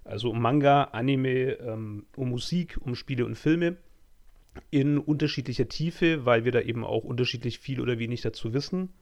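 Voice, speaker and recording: A man's voice: mid-range voice, moderate pace, darkish tone. Franconian man hosting a podcast. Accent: Franconian German. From Franconia, Germany. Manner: wordy, self-deprecating, frequent ums. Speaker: podcast co-host. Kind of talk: casual podcast intro.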